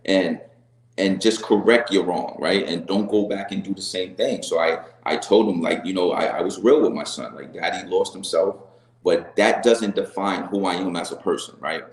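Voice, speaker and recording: Middle-aged man, deep voice, sincere guest, halting delivery, echoey room. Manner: earnest, repeats words and restarts, says "right?" often. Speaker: reality show guest. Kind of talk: candid interview answers.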